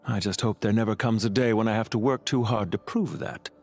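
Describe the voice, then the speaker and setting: An actor playing an urbane, scheming banker villain in a video game, sardonic and politely calculating in a suave, measured way. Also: male voice, lowish voice, darkish tone, faint music behind, natural voice. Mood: smooth, calculating. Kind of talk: video game character acting.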